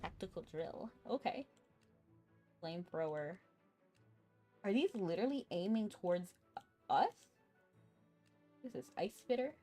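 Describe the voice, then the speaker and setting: A woman streaming a video game, chatting casually and thinking out loud as she plays, with a chuckle here and there. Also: mid-range voice, lively intonation, unhurried, pauses often. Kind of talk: casual live-stream chat while gaming. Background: faint game music.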